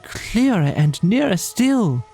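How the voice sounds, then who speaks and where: An excitable, poetic water rat from a bedtime storybook, played by a man, pitched well up from a normal male voice. He exclaims in rapt, breathless, yearning phrases, with music playing behind him. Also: slow pace, creaky, slightly rough, heavily acted. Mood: joyous.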